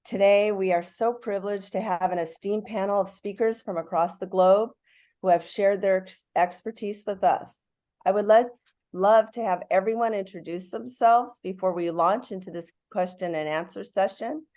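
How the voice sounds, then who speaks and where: A woman's voice: medium pitch, moderate pace, warm tone. A middle-aged woman hosting and moderating a panel with a formal, welcoming script.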